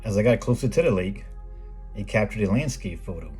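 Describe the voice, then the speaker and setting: A middle-aged American man reading a scripted voice-over in a measured, plain explanatory way, as the host of a photography video. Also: on the low side, animated, a little mellow, slightly rough. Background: background music.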